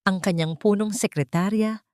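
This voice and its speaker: A woman reading a scripted dramatic story as a narrator, with solemn, expressive delivery. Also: mid-range voice, normal speed, no echo, studio quality.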